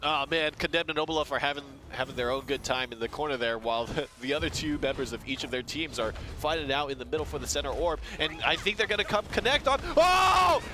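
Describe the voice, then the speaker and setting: A man's voice: fairly high pitch, animated, fast, few pauses, sharp tone, slight background noise. A man co-casting an esports match, playful and laughing, with bursts of hype. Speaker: esports caster. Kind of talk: esports color commentary.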